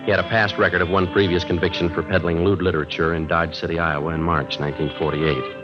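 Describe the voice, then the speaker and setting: An actor playing a terse, deadpan police detective who also narrates in a 1950s radio crime drama. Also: male voice, deep voice, fast talker, music in the background, natural voice. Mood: flat, grave. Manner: deadpan, matter-of-fact. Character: terse, deadpan male police sergeant working undercover. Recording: muffled old radio broadcast recording.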